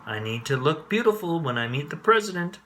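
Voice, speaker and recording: A man voicing a bossy, brash little sister in a children's storybook, loud and pushy, full of herself. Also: on the high side, sing-song, brisk, a little hiss or hum, pitched above the performer's own voice. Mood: demanding. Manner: loud, pushy, self-important. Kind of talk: children's storybook read aloud.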